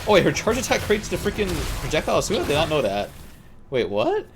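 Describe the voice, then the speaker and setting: Game streamer: medium-pitched, offhand, slangy reactions, casual commentary with soft music underneath. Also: huge pitch swings, fast. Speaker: livestreamer playing a game. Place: game livestream with game audio behind.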